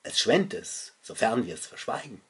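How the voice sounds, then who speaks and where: A middle-aged man reciting his own literary poetry in a solemn, dramatic and deliberate manner. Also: lowish voice, big pitch swings, slightly rough. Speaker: poet reading own work.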